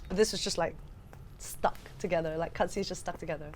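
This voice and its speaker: A woman co-hosting a podcast, chatting casually about films and games in an animated, exclamatory way. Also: fairly low pitch, brisk, a little hiss or hum. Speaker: podcast co-host.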